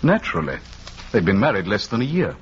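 A man's voice: on the low side, sing-song, fast, vocal fry. A dull, slightly noisy 1940s radio recording features a young army captain. He is brusque and protective, with very varied intonation in old radio drama manner. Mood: guarded, polite.

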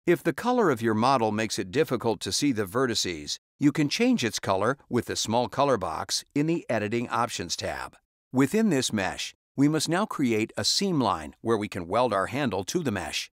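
Male voice-over for a 3D software tutorial, mid-pitched, calm and instructional, dry close recording. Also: normal speed, no echo.

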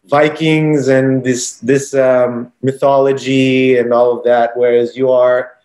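A man's voice: mid-range voice, flat delivery, slow, a little mellow. An American man hosting a podcast interview, asking a long, rambling question with many ums. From United States. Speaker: podcast host.

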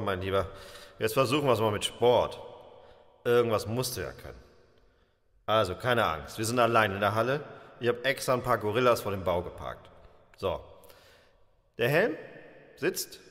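A man's voice: medium pitch, animated, moderate pace, pauses often, a bit bright, natural voice. A man playing a sardonic, exasperated minder coaching his master in a German radio play. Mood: dry, coaxing. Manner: coaxing, dry, exasperated. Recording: echoing hall, faint noise.

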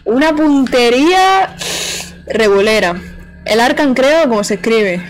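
A woman's voice: a little high, expressive, measured pace, a little hiss or hum, poor audio. Chatty woman streaming a game. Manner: chatty, playful, talks to chat and the game. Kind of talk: casual live gameplay commentary.

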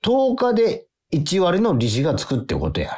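A man's voice: on the high side, wildly swooping pitch, moderate pace, a little mellow. A man playing a tired, indulgent, put-upon father with a mild, hesitant manner and a Kansai accent in a radio drama. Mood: patient.

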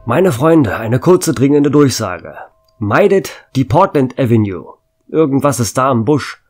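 A man's voice: mid-range voice, very animated, measured pace. A man playing a chatty small-town radio DJ. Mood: urgent. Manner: chatty, jokey. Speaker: radio host. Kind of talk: radio drama.

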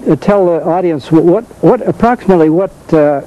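An older American man co-hosting an informal talk show, often halting as he searches for words. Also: a little high, warm tone, poor audio. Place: community television studio.

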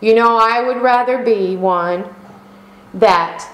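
A middle-aged woman pastor delivering a sermon in slow, emphatic preaching style, calling out for amens. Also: on the high side. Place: church sanctuary. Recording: echoey room.

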